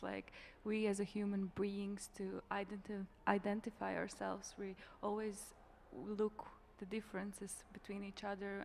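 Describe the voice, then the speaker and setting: A woman's voice: mid-range voice, creaky, darkish tone, slight background noise. A young woman from Latvia, answering interview questions in a reflective, informal way. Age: young adult. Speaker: designer being interviewed.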